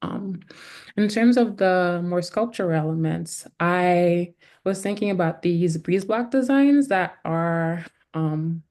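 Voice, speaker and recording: A woman's voice: fairly low pitch, takes it slowly, a little mellow. A woman photographer from Haiti talking casually about their practice, thinking aloud in an exploratory way. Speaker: photographer, panel guest. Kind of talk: conversational artist talk.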